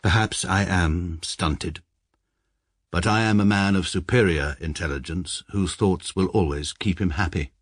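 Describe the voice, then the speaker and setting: A low-voiced, pompous English barrister narrates in a formal, pedantic, deadpan manner. He sounds middle-aged and utterly certain of his own logic. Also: a little slow, no echo. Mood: dry. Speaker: narrator and lead character. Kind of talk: radio drama narration.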